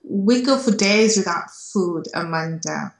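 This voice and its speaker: A woman's voice: lowish voice, animated, slow, gravelly. A middle-aged woman with a Kenyan accent tells her personal story reflectively as an interview guest, repeating words for emphasis, over a video call. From Kenya, grew up in Nairobi. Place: small indoor room on a video call. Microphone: earphone headset. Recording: roomy, echoing video call sound.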